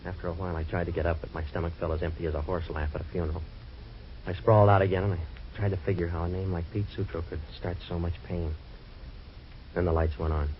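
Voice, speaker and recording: A man playing a deep, fast-talking wisecracking tough guy. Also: steady tone, natural voice. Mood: narrating. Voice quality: dry sardonic wisecracks. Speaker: hard-boiled narrator and lead. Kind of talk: 1940s radio drama acting. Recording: dull lo-fi old radio recording, faint hiss.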